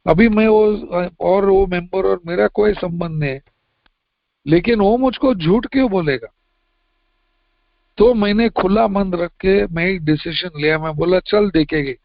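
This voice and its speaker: A man's voice: high voice, unhurried, creaky, no room sound, muffled recording. A man with a Mumbai Hindi accent sharing his recovery story at a meeting, talking plainly and slipping English phrases in. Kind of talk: personal testimony share.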